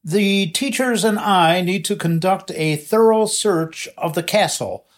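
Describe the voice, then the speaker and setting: A man reading aloud and voicing a calm, grave, elderly male headmaster who speaks in a measured way. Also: high-pitched, voice pushed up.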